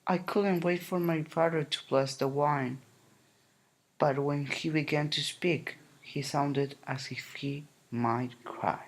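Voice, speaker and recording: A man reading a children's picture book aloud in a gentle, unhurried way. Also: a little high, fairly even intonation, rough recording. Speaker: narrator. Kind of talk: storybook reading.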